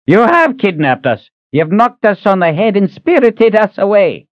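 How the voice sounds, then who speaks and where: A man voicing a cranky old male wizard with a cane who is shrill, indignant and blustering. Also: very animated, normal speed, no echo, muffled recording. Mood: alarmed.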